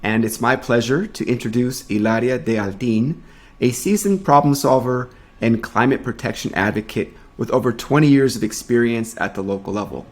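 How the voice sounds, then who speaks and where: A man's voice: mid-range voice, moderate pace. A man co-hosting a video podcast, talking in an earnest, reflective way and reading a guest introduction. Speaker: podcast co-host.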